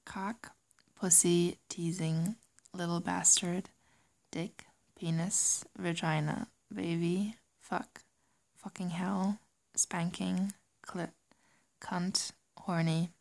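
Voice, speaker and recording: Soft, slow, gravelly young woman, German accent. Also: on the low side, level tone. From Germany, raised in Singapore. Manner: giggly, soft-spoken, often whispery. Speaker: audio creator. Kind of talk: casual chat reading word lists.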